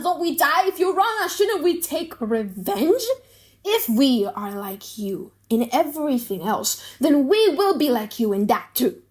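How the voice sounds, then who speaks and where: Vengeful older moneylender, played by a woman, high-pitched, indignant, rhetorical, for an audiobook drama. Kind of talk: dramatic audiobook reading of a play.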